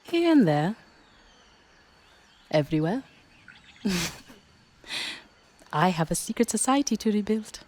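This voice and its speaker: An actress playing a serene, cryptic woman guide in a video game, solemn, measured and resolute. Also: mid-range voice, very animated, darkish tone. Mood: resolute farewell. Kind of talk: video game cutscene acting.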